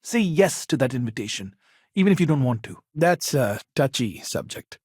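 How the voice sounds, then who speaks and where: Earnest sci-fi game counselor, male, really varied pitch, slightly husky, very dry close sound. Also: big pitch swings, moderate pace, a little mellow, no echo. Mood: calm, earnest. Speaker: video game character. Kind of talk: video game dialogue, conversational.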